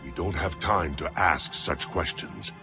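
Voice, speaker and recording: A man's voice: sits low, measured pace, creaky, music in the background. A man playing an earnest, troubled alien rebel in a video game cutscene, grave and formal. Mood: resigned.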